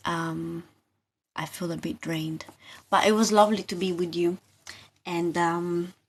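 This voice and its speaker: A woman hosting a live tarot session, talking informally and haltingly to viewers, often asking questions and repeating her phrases. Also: lowish voice.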